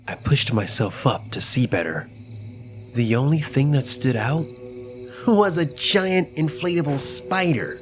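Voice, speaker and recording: Male storyteller for a kids' spooky tale: lively, swooping intonation, dramatic delivery, music underneath. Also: mid-range voice. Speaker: story narrator. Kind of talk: scripted first-person children's story narration.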